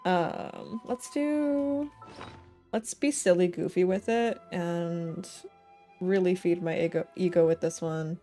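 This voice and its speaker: A woman's voice: on the low side, slow pace, dark tone. A woman livestreamer chatting casually while gaming, thinking aloud in a playful, rambling way with silly slang. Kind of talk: casual gaming stream chat. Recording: game music behind the voice. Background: game music.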